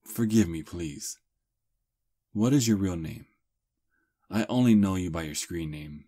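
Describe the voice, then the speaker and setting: Ancient vampire prince, played by a man: courtly seducer, breathy husky voice, slow intimate ASMR delivery. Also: sits low, animated, warm tone. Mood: courteous, charming. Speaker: ASMR roleplay performer. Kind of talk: intimate ASMR roleplay acting.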